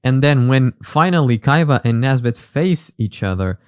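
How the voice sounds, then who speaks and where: A man's voice: medium pitch, animated, moderate pace, darkish tone, smooth, dry recording, clean studio sound. A man hosting a reaction video with casual analytical commentary, often repeating words as he explains.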